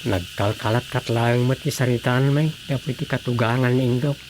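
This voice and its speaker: A man's voice: medium pitch, fairly even intonation, moderate pace, close to an everyday voice. A man playing a curious, gossiping villager in a conversational radio drama style. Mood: gossipy. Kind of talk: Ilocano radio drama acting. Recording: faint background noise with crickets.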